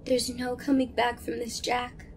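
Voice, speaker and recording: A man voicing a cold, bitter young woman speaking slowly with disdain, as part of his own narrated story. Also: very high-pitched, a little hiss or hum, pitched above the performer's own voice. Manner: flat, disdainful, slow.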